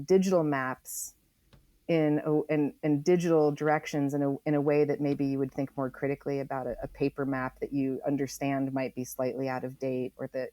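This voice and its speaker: A woman being interviewed as a writer, explaining her ideas conversationally and thoughtfully, with deliberate, emphatic phrasing. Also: low voice, darkish tone, no room sound. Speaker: interview guest, environmental writer.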